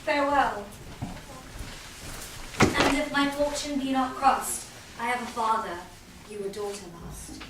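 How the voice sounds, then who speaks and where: A woman's voice: high voice, a little slow, pauses often. Woman playing spirited young runaway daughter, very echoey. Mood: defiant. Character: spirited, lovestruck young daughter eloping in disguise. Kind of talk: staged Shakespeare reading. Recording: very echoey room, distant, clear noise.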